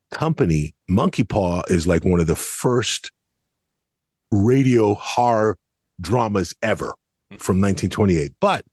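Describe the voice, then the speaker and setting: A man's voice: low-pitched, darkish tone, slightly rough, no echo, studio quality. A man co-hosting a podcast, giving a casual but articulate review with emphatic, explanatory phrasing. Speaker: podcast co-host.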